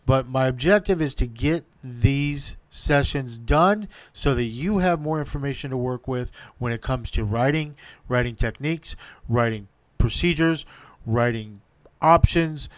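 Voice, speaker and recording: A man's voice: mid-range voice, measured pace, no room sound. A man hosting his own podcast, giving an earnest solo update and listing his points in repeated runs. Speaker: podcast host.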